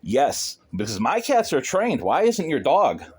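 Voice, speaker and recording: A man's voice: a little high, huge pitch swings, measured pace, darkish tone, husky. A man arguing on a phone call as a pet owner confronting a neighbour, in a stern, insistent, lecturing manner. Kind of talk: argumentative phone conversation.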